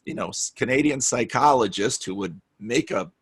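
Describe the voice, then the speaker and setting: A man's voice: mid-range voice, animated, moderate pace, slightly rough. A man telling stories earnestly about the gatherings he organizes, often restarting his sentences. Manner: earnest, storytelling, frequent restarts. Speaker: guest, meetup organizer. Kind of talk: casual conversation.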